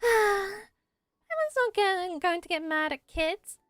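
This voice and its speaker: A woman's voice: extremely high pitch, lively intonation, slow pace, a bit bright, no room sound, studio quality, voice pushed up, heavily acted. A woman voicing a tiny floating fairy companion in a game, cheeky and indignant in manner.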